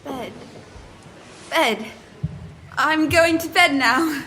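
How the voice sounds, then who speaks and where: A woman's voice: high-pitched, bright, forceful tone, slight background noise, full character voice. A woman playing an anxious, bewildered young farm girl in an audio drama, both speaking and narrating. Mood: halting, faltering. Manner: halting, breathless, anxious stammering. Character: bewildered, frightened farm girl trapped in another woman's body. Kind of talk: audio drama acting and first-person narration.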